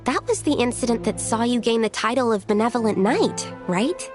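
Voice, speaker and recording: A woman's voice: on the high side, lively intonation, moderate pace, soft background music, natural voice. An actress playing an earnest young woman companion who asks concerned, polite questions in game dialogue. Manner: concerned, inquisitive.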